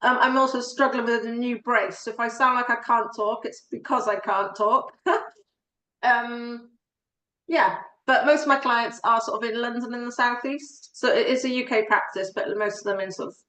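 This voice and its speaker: A woman in her forties from South Wales with a Welsh accent, a solicitor giving a relaxed, chatty presentation on an online call. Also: on the high side, moderate pace, reverberant, low-quality recording.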